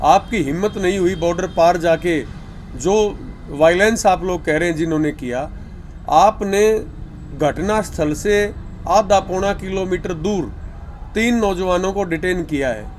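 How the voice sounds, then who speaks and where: A man with a high voice and a Haryanvi accent speaks as a farmers' activist, making an appeal to the government. He talks deliberately in short emphatic phrases, with faint noise behind him at an on-location news recording. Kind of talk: spoken appeal to the government through media.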